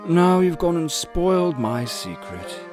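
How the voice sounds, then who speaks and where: Villainous chancellor for audio drama: mocking, courtly drawl, theatrical, clear music bed. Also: male voice, fairly high pitch, sing-song, a little slow. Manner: drawling, mock-polite, theatrical.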